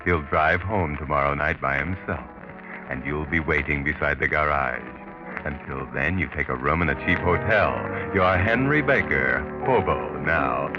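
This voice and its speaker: Casting: taunting voice of fate, very low, sardonic, 1940s mystery narrator, muffled broadcast sound. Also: male voice, over loud music. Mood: ominous, taunting. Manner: deliberate, taunting, ominous. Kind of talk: 1940s radio mystery narration, second person. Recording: muffled vintage radio recording.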